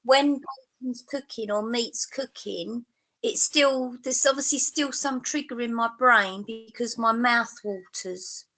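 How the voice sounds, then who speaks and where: A woman on a video call chatting casually as a webinar participant, soft-spoken and sitting back from her microphone. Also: fairly high pitch, unhurried, rough recording. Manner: soft-spoken, drifting from the mic. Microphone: far from a computer microphone.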